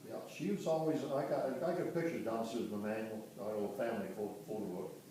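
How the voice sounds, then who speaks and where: An older man from Michigan recalling his family history in a casual, halting way, often restarting and correcting himself. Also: fairly low pitch, warm tone, slight background noise. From Dearborn, Michigan. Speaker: interviewee recounting family history. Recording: roomy, distant home recording.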